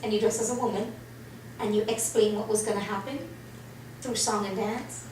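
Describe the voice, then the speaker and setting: A woman from Trinidad telling her life story as an interview guest, animated, acting out the reactions she quotes. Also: medium pitch, normal speed. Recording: roomy and distant, faint noise.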